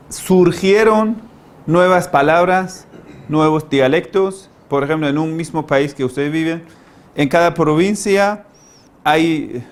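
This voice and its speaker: A man with a non-native, foreign accent giving an informal lecture as a teacher, didactic and halting, stressing key words and posing rhetorical questions. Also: fairly high pitch. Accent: foreign, non-native Spanish. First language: not Spanish. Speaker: language-learning teacher, lecturer.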